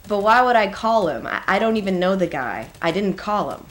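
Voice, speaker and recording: A woman's voice: medium pitch, very animated, fairly quick, lightly acted. A woman acting as an anxious suspect who denies everything, hesitant and defensive in a naturalistic film style. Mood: flustered denial. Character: flustered, anxious female suspect denying everything.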